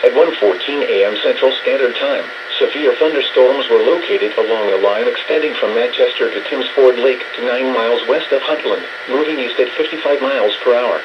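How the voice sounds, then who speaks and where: A synthesized man's voice reading scripted emergency weather warnings as an automated announcer, in a flat, measured delivery over weather radio. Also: medium pitch. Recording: muffled, noisy weather radio broadcast.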